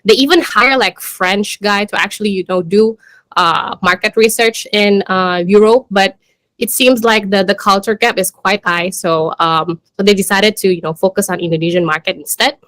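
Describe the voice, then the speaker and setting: A woman's voice: mid-range voice, moderate pace, fairly bright tone, dry recording. A woman giving an informal business presentation in a hesitant, conversational way, leaning often on "you know" and "like". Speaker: startup country manager presenting.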